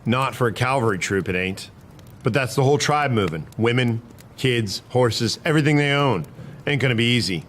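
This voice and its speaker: A man's voice: medium pitch, lively intonation, normal speed, a bit bright, lightly acted. A man acting as a stubborn, principled horse soldier in a western dramatization. His voice sits in the middle range and he argues in plain, folksy frontier speech. There is light ambient noise behind him. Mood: weary, doubtful. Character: principled, stubborn cavalry private defending the Nez Perce. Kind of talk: historical dramatization dialogue.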